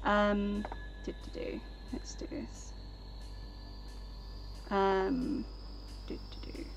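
Unhurried woman streaming a game. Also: medium pitch, wildly swooping pitch, gravelly, a little hiss or hum, low-quality recording. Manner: chatty, rambling, thanks viewers by name. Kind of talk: casual live-stream chat. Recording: game music playing underneath.

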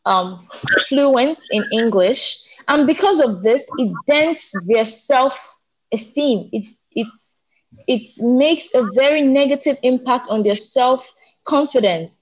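A woman's voice: sits high, slow pace. A young African woman co-hosting a casual discussion from home over a video call, talking with passion and gesturing. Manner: passionate, gestures while talking, some restarts. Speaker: co-host. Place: indoors at home. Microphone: video call, front camera. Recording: muffled video call audio.

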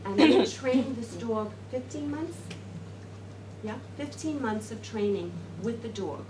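A woman's voice: fairly high pitch, animated, unhurried, darkish tone, far from the mic. A middle-aged woman talking informally to a seated group in a classroom, rambling with many fillers and restarts. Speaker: speaker addressing a group. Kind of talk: informal talk to a seated audience. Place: classroom, indoors. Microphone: far camera. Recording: roomy classroom sound from afar with noise.

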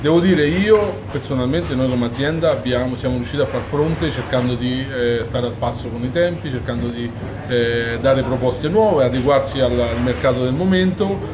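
A man's voice: mid-range voice, normal speed, few pauses. A man in his forties from Umbria, a chef talking in detail during an on-camera interview, with frequent fillers and restarts. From Umbria, Italy. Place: busy restaurant kitchen during service. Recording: kitchen noise behind.

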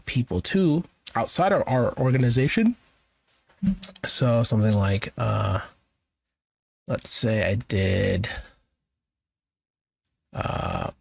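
A man presenting a software tutorial in a casual, informal way, thinking aloud as he goes. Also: on the low side, vocal fry, no room sound. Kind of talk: casual screen-share software walkthrough.

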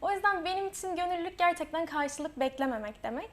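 A woman's voice: very high voice, animated, quick pace. A young woman, a student author, answering interview questions earnestly. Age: young adult. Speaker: guest, student author and association vice-president.

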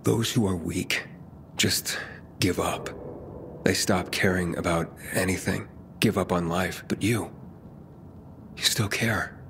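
An actor playing a guarded but kind male survivor in a video game, terse and understated, with a gravelly voice. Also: sits low, steady tone, slow pace, long pauses, noisy background, heavily acted. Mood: earnest, reassuring. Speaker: game protagonist. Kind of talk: video game cutscene dialogue.

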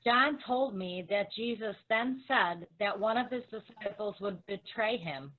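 A woman's voice: medium pitch, unhurried, natural voice. A woman playing a grieving, devoted follower of Jesus in a dramatic scripture reading. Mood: solemn. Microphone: video call. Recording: dull, lo-fi video-call audio.

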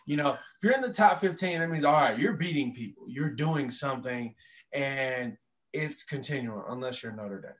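A young man who played college football, talking as a guest on a sports podcast with emphatic, animated delivery over a laptop mic. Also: medium pitch, moderate pace. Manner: emphatic, animated, uses many fillers. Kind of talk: casual sports talk. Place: indoor room.

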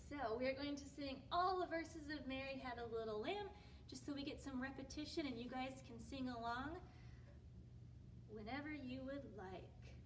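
Young woman, high voice, informal children's storytime host, recorded from a distance indoors. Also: moderate pace, a little hiss or hum. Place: indoors, library room with bookshelves. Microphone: distant camera microphone. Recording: distant camera microphone pickup.

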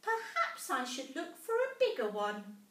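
A woman voicing a tiny, polite and eager female mouse with a squeaky voice in a storybook. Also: huge pitch swings, measured pace, a bit bright, heavily acted. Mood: eager, hopeful. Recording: roomy classroom, distant mic.

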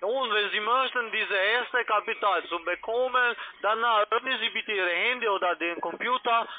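A man's voice: high-pitched, normal speed. A man who is not a native speaker, an insistent finance agent on the phone with broken grammar. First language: not German. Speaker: call centre finance agent. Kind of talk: phone sales pitch. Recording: noisy narrow telephone line.

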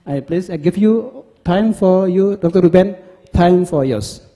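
Brief: middle-aged host, dark mellow tone, a bit higher pitch, hesitant speech, echoing hall. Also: male voice. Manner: halting, hesitant English with many uhs. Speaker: event host. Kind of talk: introducing a guest speaker. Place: large lecture hall, seated at panel table. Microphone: handheld microphone. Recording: long hall reverb.

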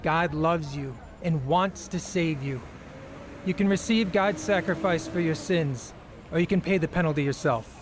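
An earnest young male preacher played by an actor speaks in a slightly high voice, emphatic and sure of his faith. It is radio drama, with faint street noise around him. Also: moderate pace, natural voice. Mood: fervent preaching.

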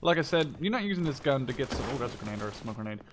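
A man's voice: medium pitch, big pitch swings, very fast, noisy background. A man in his twenties giving casual, rambling live gameplay commentary, often correcting himself and swearing offhandedly. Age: 20s. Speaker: gameplay video host. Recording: game gunfire and clicking behind voice. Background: video game gunshots, machine guns, clicks.

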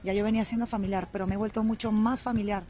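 A woman's voice: medium pitch, fairly even intonation, mellow, soft background music. A young Venezuelan woman with a Venezuelan Spanish accent, answering interview questions thoughtfully while standing in a city park with a handheld mic. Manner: thoughtful, measured, earnest. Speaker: guest. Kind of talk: street interview, relaxed answers.

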